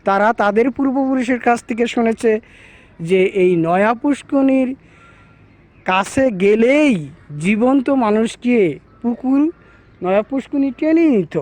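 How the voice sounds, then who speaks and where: A man narrates village folklore to viewers in a deliberate, repetitive, formal presenter's manner. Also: very high-pitched, darkish tone. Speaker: storyteller presenter.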